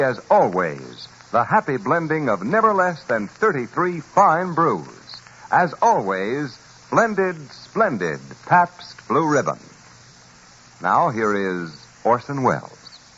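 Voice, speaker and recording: Animated, old-time male radio announcer. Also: medium pitch, slow, breathy edge. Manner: formal, polished, emphatic delivery. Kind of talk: 1940s scripted sponsor announcement. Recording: muffled, faintly noisy vintage radio recording.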